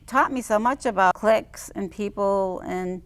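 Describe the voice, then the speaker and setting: Woman, medium pitch, slow pace, reflective, reading personal writing, conversational. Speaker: poet reading own personal writing on a local TV show. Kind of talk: spoken reading of personal memoir and reflective chat.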